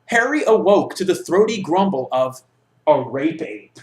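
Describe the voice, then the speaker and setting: Young male story reader, theatrical and mock-serious, lightly high-pitched, recorded in a bare reverberant room. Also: sing-song, measured pace. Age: young adult. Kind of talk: reading a comic story aloud. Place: small dorm room with block walls, indoors.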